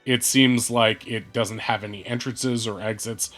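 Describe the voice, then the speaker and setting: A man running an online tabletop game as game master, speaking in a measured way with many hesitant fillers. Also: fairly low pitch, steady tone, a bit bright, soft background music.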